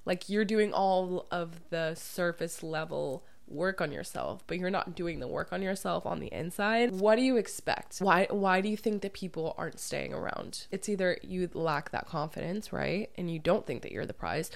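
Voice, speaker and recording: A woman's voice: fairly low pitch, expressive, moderate pace, gravelly, few pauses, dry recording. A young woman who has lost part of her voice to illness, hosting a casual solo podcast as a dating coach and talking candidly with some swearing. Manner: candid, swears freely, laughs easily. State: hoarse, losing her voice from illness.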